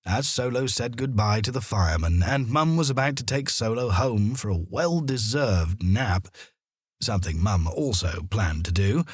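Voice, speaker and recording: A man narrating a children's story in a dramatic, expressive manner. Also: fairly low pitch, husky, dry recording, studio quality.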